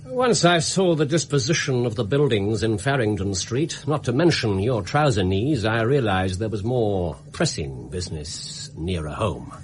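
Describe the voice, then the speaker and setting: An actor playing a commanding, shrewd gentleman detective in a radio drama, crisp and precise in his speech. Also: on the low side, sing-song, normal speed, few pauses, slight background noise. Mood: composed.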